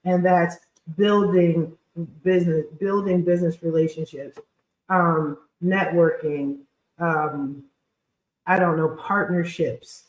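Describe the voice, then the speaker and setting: A woman's voice: lowish voice, fairly even intonation, slow pace. Casting: female livestream host, scratchy voice, informal and blunt chatty delivery, recorded in an echoing space. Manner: informal, blunt, slangy talk. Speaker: podcast host and credit repair specialist. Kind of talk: casual chatty livestream monologue.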